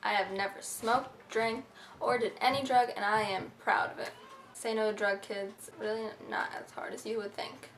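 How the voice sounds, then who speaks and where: A woman's voice: mid-range voice, steady tone, moderate pace, fairly bright tone. Young woman vlogger, bubbly and filler-heavy, camera mic in a roomy space with light hiss. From Egypt, raised in the USA. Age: young adult. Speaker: beauty vlogger. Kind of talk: casual facts-about-me vlog. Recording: echoey room, faint hiss.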